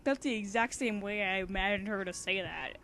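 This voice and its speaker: A woman's voice: mid-range voice, brisk, a bit bright. A young woman chatting casually as she reads a story aloud, with a giggly, rambling, informal manner. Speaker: fan fiction author and reader.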